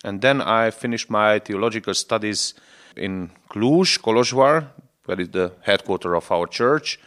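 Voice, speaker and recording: A man in his forties, a Lutheran bishop from Transylvania whose first language is Hungarian, answering radio interview questions in halting, earnest English as he searches for words. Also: fairly low pitch, fairly bright tone. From Romania, Transylvania.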